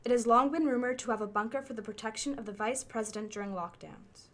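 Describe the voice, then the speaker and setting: A female machine intelligence played by a woman delivers findings in a radio drama. The voice sits fairly high, and the delivery is formal, exact and impersonal, laying out facts like an analyst. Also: fast, a bit bright, lightly acted. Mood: clinical, matter-of-fact. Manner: formal, precise, matter-of-fact delivery. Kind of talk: radio play acting.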